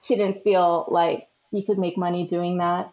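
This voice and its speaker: A woman's voice: lowish voice, flat delivery, measured pace, warm tone. A woman born in the Philippines, a guest chatting casually in an interview, with lots of fillers and easy laughs. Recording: slightly dull lo-fi sound.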